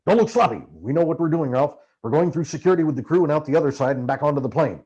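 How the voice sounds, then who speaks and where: A man's voice: on the high side, expressive, rapid-fire, a little mellow, husky, lo-fi sound. A man voicing a ranting, paranoid, foul-mouthed American journalist in audiobook dialogue, barking urgent, emphatic orders. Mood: paranoid, commanding.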